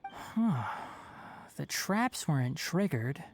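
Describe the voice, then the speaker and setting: An actor playing a composed young male forest ranger mentor in a video game, speaking in a measured, formal, matter-of-fact way. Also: on the high side, big pitch swings, exaggerated voice. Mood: dry, businesslike.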